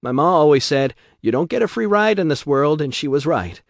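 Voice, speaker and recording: A man's voice: a little high, expressive, a little fast, dry recording, studio quality, voice pushed up. A man voicing a weary, streetwise young woman who talks in a slangy way with a raised, lighter voice. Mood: bitter.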